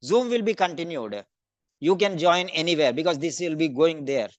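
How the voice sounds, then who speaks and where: A man running a live online speaking class, his voice slightly raised and bouncing quickly from student to student by name. The sound is close and dry, with no room around it, heard over a video call. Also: on the high side, lively intonation, no echo, dull audio. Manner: brisk, calls on students by name. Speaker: English speaking teacher. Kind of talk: online class feedback round.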